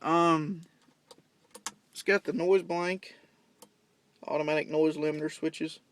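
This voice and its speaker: A man's voice: on the high side, steady tone, takes it slowly, slight background noise. A young man giving a casual, plain-spoken, unscripted how-to walkthrough, explaining things step by step. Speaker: CB radio hobbyist giving a demonstration. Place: in and around parked vehicles at home.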